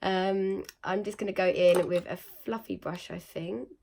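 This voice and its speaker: Croaky young woman, chatty vlogger. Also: mid-range voice, steady tone, measured pace, darkish tone, a little hiss or hum. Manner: chatty, rambling, lots of fillers. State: croaky and nasal after a chest infection. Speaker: beauty vlogger. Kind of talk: casual makeup tutorial.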